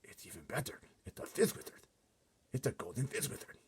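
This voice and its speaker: A man voicing a huge, excitable giant in a storybook, kindly but easily agitated, with muddled folksy grammar and theatrical exclamations. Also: medium pitch, brisk, slightly rough, in a whisper, pitched above the performer's own voice. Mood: thrilled.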